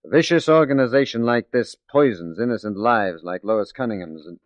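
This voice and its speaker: Guy playing a determined 40s radio detective, theatrical swoops in pitch, muffled old recording. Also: animated, fairly quick, no room sound, close to an everyday voice. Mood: resolute, grave. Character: resolute, righteous male detective working undercover as a secretary. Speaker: detective lead in a radio drama. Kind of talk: 1940s radio acting.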